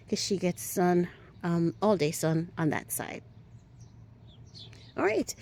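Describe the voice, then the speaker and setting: A woman giving a casual walking tour of her garden outdoors, chatty and upbeat, a little hoarse, often asking "right?" as she films on a handheld phone. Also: mid-range voice, a little mellow, a little hiss or hum. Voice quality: a little hoarse, clears throat. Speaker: garden vlogger.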